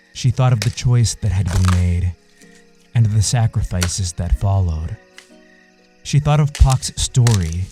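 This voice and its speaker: A man narrating a literary audio story in a measured, solemn way. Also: lowish voice, dark tone.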